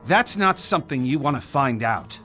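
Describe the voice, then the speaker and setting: Game senator, proud family man under pressure, formal and commanding, very animated pitch, faint music. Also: normal speed. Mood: tense, threatening. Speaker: senator character. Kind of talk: dramatic video game dialogue.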